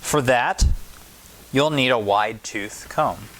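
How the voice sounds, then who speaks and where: A man's voice: medium pitch, slow pace, a bit bright, low-quality recording. A man voicing a kind, practical neighbour woman who patiently instructs a child in a picture book. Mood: patient, instructive. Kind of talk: picture book read-aloud.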